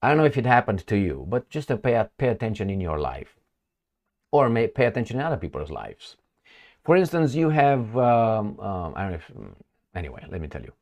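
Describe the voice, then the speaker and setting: A man's voice: on the low side, very animated, fairly quick, a little mellow. A man giving casual, sarcastic commentary on news he reads aloud, breaking into asides and mock dialogue.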